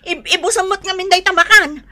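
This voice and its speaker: Complaining young wife, Ilocano Filipino accent, whiny, high pitch, piercingly sharp tone, radio drama. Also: female voice, a little fast, exaggerated voice. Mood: nagging. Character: Susan, sulky complaining young wife and mother.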